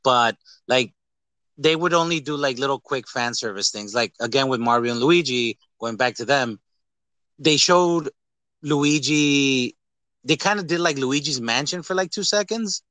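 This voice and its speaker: A man with a bright, forward voice hosts a casual movie podcast. He talks in an animated, conversational way and acts out little quotes. The sound is close and dry. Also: medium pitch, measured pace, no room sound. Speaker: podcast host.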